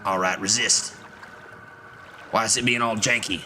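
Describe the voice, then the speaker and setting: A man's voice: fairly low pitch, steady tone, a little slow, bright, forceful tone, slight background noise. A man giving casual, rambling gameplay commentary as a streamer, jokey and profane. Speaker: video game streamer. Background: game music.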